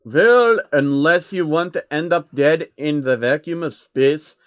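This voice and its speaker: A man's voice: fairly high pitch, unhurried, clean tone, muffled recording, close to an everyday voice. A man voicing a smug, matter-of-fact laboratory scientist for a story reading. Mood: dryly amused. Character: tall, pale, smug male laboratory scientist.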